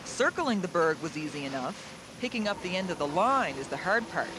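A man delivers scripted documentary narration in a high voice. Clear vehicle noise runs behind his words. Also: very animated, fairly quick.

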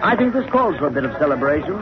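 Anxious husband, highish voice. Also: male voice, very animated, racing, a loud crowd. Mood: relieved. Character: anxious British businessman, haunted husband. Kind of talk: 1940s radio drama acting. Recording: muffled noisy 1940s radio recording.

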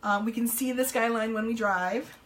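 Chatty, slightly hoarse New woman. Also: fairly high pitch, steady tone, moderate pace, fairly bright tone, slight background noise. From New Jersey, US. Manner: chatty, upbeat, many ums, greets viewers. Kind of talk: casual live-stream sales chat. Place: home room, indoors.

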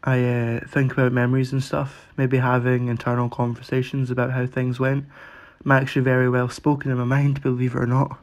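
An actor playing an anxious, apologetic, socially awkward young person, speaking haltingly in a short-story reading. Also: medium pitch, monotone, close to an everyday voice. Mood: hesitant. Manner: hesitant, halting.

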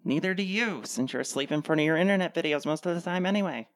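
A woman's voice: deep voice, fast talker, no echo, natural voice. An actress playing a cutting, sarcastic wife who is fed up with her husband in a radio play. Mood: dry, resigned. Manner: dry, sarcastic.

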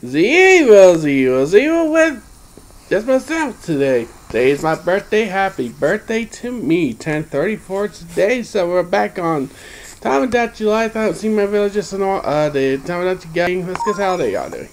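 A man's voice: high-pitched, lively intonation, moderate pace. A man in his thirties chatting casually while playing a handheld game, easily touched and thankful. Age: 30s. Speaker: game streamer. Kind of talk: casual chat over gameplay. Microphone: phone or camera filming a handheld console. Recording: game music playing loudly behind.

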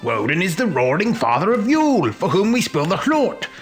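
A male narrator acts out an earnest pagan feaster in a storybook tale. His voice is pitched a little high, and he speaks with proud, emphatic conviction. Music plays behind him. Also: very animated, normal speed, fairly bright tone. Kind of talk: dramatised storytelling.

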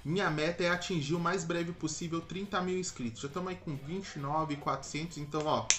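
A man's voice: a little high, fairly even intonation, a little fast, fairly bright tone. A young adult Brazilian man giving casual, slangy, conversational commentary as the host of a reaction video.

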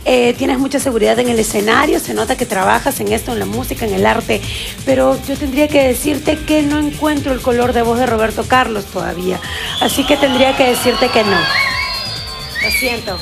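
A woman giving a measured critique as a judge on a televised talent show. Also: fairly high pitch, creaky, over music. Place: TV studio judges' desk.

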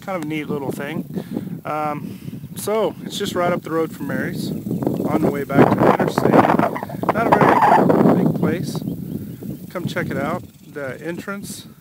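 A man in his forties narrates a travel vlog in a relaxed, informal tour-guide way, speaking on a handheld phone outdoors. His voice sits a little high for a man. Loud background noise runs under the whole recording. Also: measured pace. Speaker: travel vlogger. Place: outdoors in a rural cemetery. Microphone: phone camera held at arm's length.

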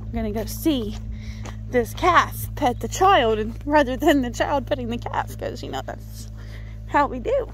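High-pitched woman cooing to animals. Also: lively intonation, measured pace, a bit bright. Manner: cooing baby talk to animals. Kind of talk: casual chat with a child and animals. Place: dairy farm, among calves and cows. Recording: noisy farm ambience.

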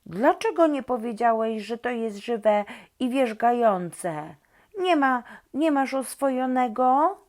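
An indignant young monster girl from a storybook, acted out by a woman reader. Her voice jumps high and loud, huffy and accusing, exclaiming every grievance. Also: expressive, slow. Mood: offended.

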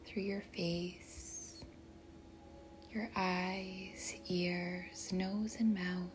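A woman leading a guided meditation in a soft, breathy, whispery voice, speaking gently and deliberately with long pauses between short phrases. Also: fairly low pitch, flat delivery, noisy background. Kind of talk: guided meditation, slow and soothing narration.

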